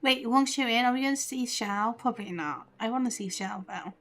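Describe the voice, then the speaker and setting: A woman's voice: fairly high pitch, fairly quick, fairly bright tone. A woman streaming a game, chatting casually and informally as she reacts to it. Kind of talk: casual livestream commentary. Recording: faint game music behind.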